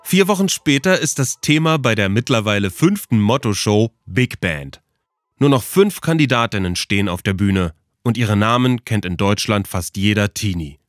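A man's voice: lowish voice, animated, normal speed, no room sound, studio quality. A man reading a scripted documentary narration for a podcast.

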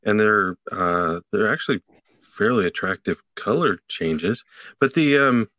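A middle-aged man co-hosting a podcast, explaining a tech item in a halting way with many fillers, from a home office on a video call. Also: lowish voice, lively intonation, pushed and bright, dry recording. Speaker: podcast co-host. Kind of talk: casual tech chat.

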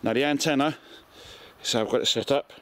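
A man's voice: mid-range voice, brisk, a bit bright. A middle-aged man with a British accent giving a casual hands-on demonstration, recorded outdoors in a garden. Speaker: amateur radio video presenter.

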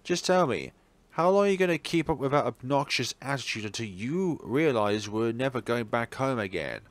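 Man acting a bitter, agitated teenage schoolboy, lively pitch. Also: moderate pace, close to an everyday voice. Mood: angry, panicked. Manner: agitated, stammering, sarcastic. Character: distraught, bitter teenage schoolboy lashing out in fear.

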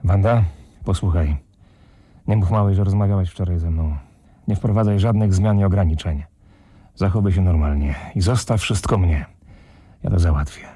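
A man playing a hard, sardonic middle-aged tough guy in a radio play, deadpan, menacing and very raspy. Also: moderate pace, long pauses. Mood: calm, wry. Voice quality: low, very raspy. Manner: deadpan, menacing, matter-of-fact.

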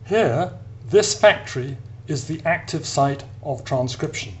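A man giving an explanatory science narration as an academic lecturer, in a measured, deliberate manner with pauses between phrases. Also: a little high, lively intonation. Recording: clear background hiss and hum.

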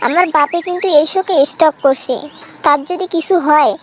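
A woman's voice: high voice, a little fast, close to an everyday voice. A woman playing an indignant, stern young sweetheart who scolds her lover in a comic cartoon, with a Bangladeshi accent. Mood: reproachful. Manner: scolding, reproachful. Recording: noticeable background noise.

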